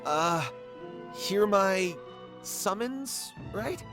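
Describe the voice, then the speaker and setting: A man playing an earnest, flustered and insecure struggling writer in an animated game. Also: sits high, very slow, exaggerated voice. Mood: hesitant. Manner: hesitant, pleading. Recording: music behind the voice.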